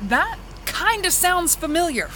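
A woman's voice: extremely high pitch, big pitch swings, a little slow, pushed and bright, a little hiss or hum, heavily acted. A woman playing a practical, guarded farmer in an audio drama, speaking in terse, plain, naturalistic lines. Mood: guarded, reluctant. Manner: terse, plain-spoken, hedging politely. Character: practical, guarded woman farmer renting land, busy seeding.

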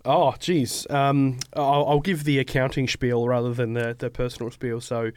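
A man's voice: mid-range voice, flat delivery, moderate pace, smooth. A man in his thirties with an Australian accent, a guest on a podcast, talking in a laid-back, rambling way with lots of fillers. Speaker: guest, accounting firm owner. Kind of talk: podcast interview. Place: studio.

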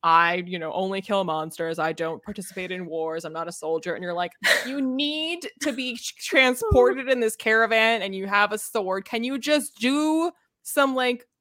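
A woman's voice: mid-range voice, normal speed, dry recording. Woman chatting casually, slangy, dry close sound. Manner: slangy, animated retelling with acted-out dialogue. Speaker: podcast co-host discussing books. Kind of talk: casual book chat.

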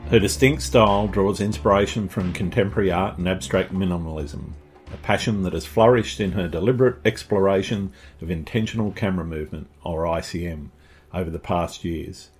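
A man with an Australian accent and a slightly husky voice, presenting as the host of an interview podcast. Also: low-pitched, brisk, faint music behind. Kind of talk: scripted introduction and interview chat.

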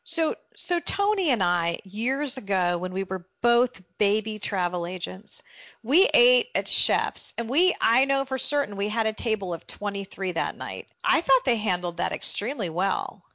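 A talkative woman co-hosts a relaxed podcast with animated energy and easy laughter. Her voice sits mid-range, recorded dry and slightly dull. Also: medium pitch, moderate pace, no room sound. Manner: chatty, animated, laughs easily. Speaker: podcast co-host, travel agent. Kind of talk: casual podcast chat.

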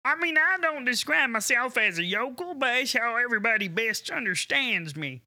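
A man's voice: very high voice, lively intonation, bright, forceful tone, dry recording, exaggerated voice. Man putting on a folksy Southern yokel. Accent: Southern US drawl (put on). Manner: slow homespun drawl, plainspoken. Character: folksy Southern male yokel with grass in mouth. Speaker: improv guest character.

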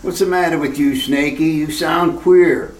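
A man's voice: a little high, fairly even intonation, unhurried, close to an everyday voice. A man playing a surly germ-phobic hoodlum. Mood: gruff. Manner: gruff, tough-guy slang, fussy about germs. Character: germ-phobic male gangster, surly and fussy. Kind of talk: retro radio drama acting. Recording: roomy echo.

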